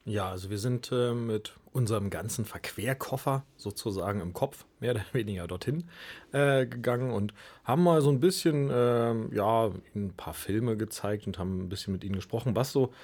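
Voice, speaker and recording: Male studio guest, rough-edged lower voice, casual and hesitant conversational delivery. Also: moderate pace, darkish tone. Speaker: youth radio workshop leader, interview guest. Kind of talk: casual conversational interview answers. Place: radio studio.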